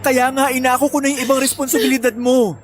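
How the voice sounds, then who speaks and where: A man's voice: very high-pitched, level tone, fast talker, a little hiss or hum. A man with a Filipino accent playing a guilty, weary young boyfriend, earnestly explaining himself in a radio drama. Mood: apologetic.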